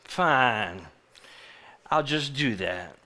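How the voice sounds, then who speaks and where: An actor playing a pushy, greedy, defensive schemer in a live stage play. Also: male voice, mid-range voice, animated, very slow, sharp tone, heavily acted. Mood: defensive, sullen.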